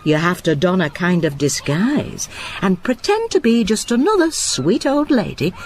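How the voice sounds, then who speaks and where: A woman's voice: mid-range voice, big pitch swings, unhurried, darkish tone, a little hiss or hum. An actress playing a cheerful retired landlady who narrates her own story in a radio drama, cosy and wry. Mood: confiding. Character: Brenda, a cheerful retired seaside landlady with a secret. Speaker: narrator and lead character.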